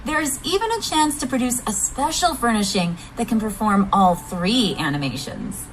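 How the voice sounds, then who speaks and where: A woman's voice: a little high, lively intonation, moderate pace, a little hiss or hum, poor audio. A woman presenting scripted announcements with chatty reactions in a friendly, upbeat way. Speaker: game livestream presenter. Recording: faint music behind the voice.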